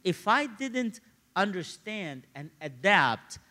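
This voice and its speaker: A man, preaching in an animated, conversational storytelling style and repeating phrases for emphasis. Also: high-pitched, takes it slowly. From Egypt, grew up in Cairo. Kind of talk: sermon with personal storytelling.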